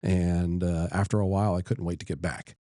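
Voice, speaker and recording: A middle-aged man from Delaware talks as an interview guest in an easygoing, folksy manner. His voice is very low and mellow. The recording is close and dry. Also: flat delivery, no room sound. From Delaware, USA. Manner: easygoing, folksy, unhurried storytelling. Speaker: state senator, guest. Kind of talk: casual interview.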